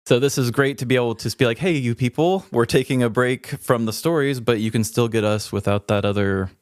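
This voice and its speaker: A man's voice: medium pitch, a little fast, no room sound, studio quality. A man co-hosting a podcast, chatting casually in a candid, introspective and wordy way. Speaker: podcast co-host. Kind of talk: casual reflective podcast chat.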